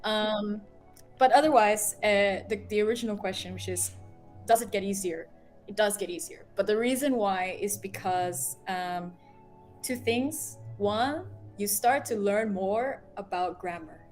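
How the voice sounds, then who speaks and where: A woman co-hosting a casual chat, explaining things in an animated way with many fillers. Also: medium pitch, unhurried, soft background music. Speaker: co-host.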